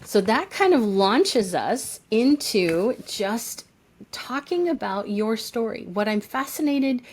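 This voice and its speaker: A woman's voice: a little high, very animated, slow pace, a little mellow. A woman co-hosting a podcast, reading a guest introduction and talking in an effusive, praising way. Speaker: co-host.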